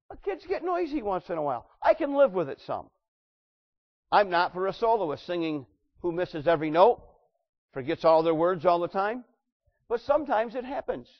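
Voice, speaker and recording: A man preaching a sermon as pastor of his church, with a loud voice that swings between booming and quiet. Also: muffled recording. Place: church sanctuary.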